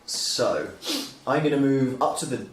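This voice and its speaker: A man's voice: mid-range voice, expressive, measured pace. A man playing a tabletop roleplaying game, talking casually as he decides on and describes his turn. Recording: echoey room with faint noise.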